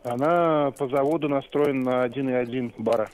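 A man's voice: medium pitch, fairly quick, darkish tone, slight background noise. A man working as a car salesman, speaking politely and explaining in a businesslike way over a narrow phone line. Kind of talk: customer phone call. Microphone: telephone.

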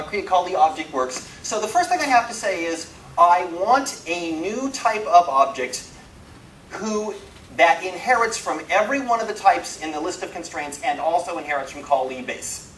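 Higher-voiced man lecturing in a roomy space. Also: moderate pace. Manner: animated, self-deprecating, wry humour. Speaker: speaker giving a technical programming talk. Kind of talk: informal live lecture to a programmer audience. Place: indoor talk room. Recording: roomy, distant mic, some background noise.

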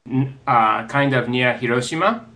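A man in his thirties whose first language is Japanese, answering audience questions on a video call in a slow, hesitant way with many fillers. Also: mid-range voice, bright, forceful tone. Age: 30s. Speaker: interview guest. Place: home study, indoors. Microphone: earbud headset on a video call.

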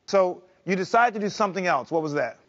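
A middle-aged man hosts a talk show from a studio, his voice sitting high for a man. He sounds keen and encouraging with his guests. The audio is a little dull. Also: expressive, fast. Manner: enthusiastic, encouraging. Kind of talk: upbeat talk show interview. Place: TV studio set.

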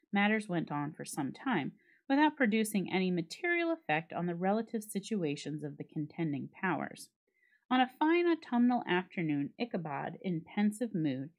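A woman giving a dramatic reading of a classic story, with measured, expressive storytelling. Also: fairly low pitch. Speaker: library staff reader.

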